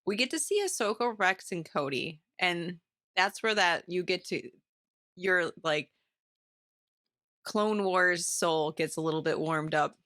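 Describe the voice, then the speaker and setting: A woman's voice: fairly low pitch, unhurried, fairly bright tone, no room sound, clean studio sound. A woman co-hosting a podcast, talking in a casual, chatty book club style. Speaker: podcast co-host.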